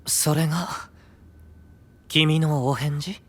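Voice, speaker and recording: An actor playing a calm, enigmatic, stubborn boyish knight, speaking in an unhurried, wistful way in a fantasy audio drama. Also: male voice, on the high side. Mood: quiet, disappointed. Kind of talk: anime-style fantasy audio drama acting.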